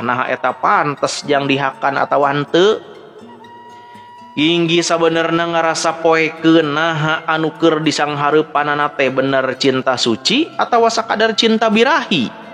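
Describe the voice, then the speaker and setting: Man, Sundanese accent, folk storyteller, expressive conversational delivery, mid-pitched voice, background music. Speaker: storyteller and channel host. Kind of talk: dramatic Sundanese folk-tale narration and listener greetings.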